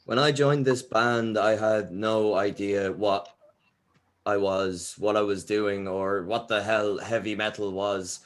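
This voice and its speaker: A man's voice: on the low side, flat delivery, slow pace. A man reading the part of a wry, shaken young band member confessing to camera in a horror comedy table read. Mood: weary, shaken.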